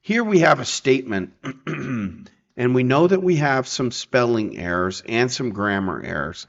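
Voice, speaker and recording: Male tutorial presenter, mid-pitched, informal and explanatory, slightly muffled lo-fi voice-over. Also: very animated, a little slow, creaky. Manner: informal, explanatory, checks in with "okay" and "right". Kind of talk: casual screen-share software tutorial.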